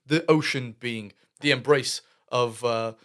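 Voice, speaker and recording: A man's voice: medium pitch, takes it slowly, fairly bright tone. A young British man hosting a reaction video, thinking aloud in casual commentary with lots of fillers and restarts. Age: young adult. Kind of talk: casual commentary over music. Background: music playing faintly.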